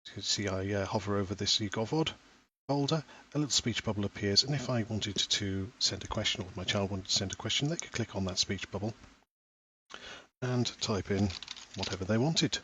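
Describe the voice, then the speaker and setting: A man in his thirties, a parent from Wales, walking through a school software platform step by step in a patient, explaining voice. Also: lowish voice, a little fast, slight background noise, rough recording. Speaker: parent giving a tutorial. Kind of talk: screen-recorded software tutorial.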